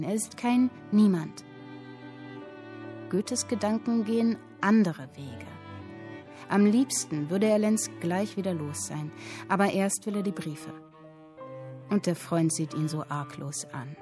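An actress playing a woman who reads a literary tale aloud in a measured, expressive storytelling manner, in a radio drama. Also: mid-range voice, lightly acted. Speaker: narrator reading a literary account. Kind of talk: dramatic literary narration in present tense.

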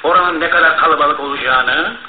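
An actor in a radio play playing an impatient, sceptical man with a brisk, pointed, sardonic delivery. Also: fairly high pitch, lightly acted. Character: impatient, sceptical male challenger of the police. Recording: roomy, noisy, phone-like radio broadcast.

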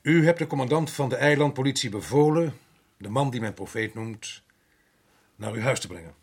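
An actor playing a stern, principled Dutch colonial official in a radio drama, formal and probing, with a husky voice. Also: male voice, mid-range voice, lively intonation, normal speed. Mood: firm, accusing.

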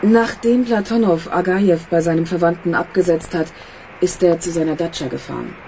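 A woman's voice: lowish voice, a little fast, noisy background, lightly acted. A woman playing a discreet, businesslike messenger in a radio drama, speaking in a guarded, composed way. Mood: earnest, composed. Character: composed, discreet female go-between passing on messages. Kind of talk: radio drama phone dialogue.

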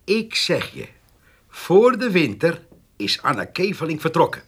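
An actor in a radio play playing a cheeky, boozy countryman, teasing and boastful in a rough, husky voice. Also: a little high, big pitch swings, a little slow, a bit bright, heavily acted. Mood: teasing, jovial.

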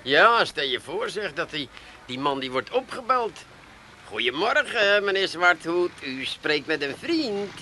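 A man's voice: fairly high pitch, big pitch swings, a little slow, sharp tone, a little hiss or hum, exaggerated voice. An actor playing a nosy, slyly teasing family man with an Amsterdam accent, repeating words insinuatingly in a comic radio play. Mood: mocking, insinuating. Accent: Amsterdam Dutch.

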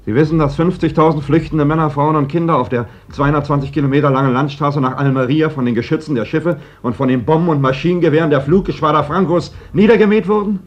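An actor playing a shrewd, insistent working man in a German radio play, questioning deliberately with an ironic edge. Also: fairly high pitch, natural voice. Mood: pressing. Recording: dull, noisy vintage radio recording.

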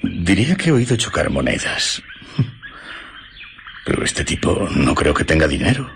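An actor playing a chatty, curious sailor who gossips in an amused, halting way in a radio drama. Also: male voice, extremely low pitch, big pitch swings, creaky, fairly bright tone, slight background noise, full character voice.

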